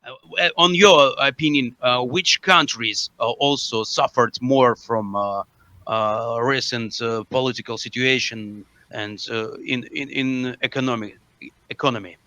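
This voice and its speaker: A man with an Eastern European accent asking halting interview questions in stumbling non-native English. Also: mid-range voice, pushed and bright, no echo. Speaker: prank caller posing as interviewer.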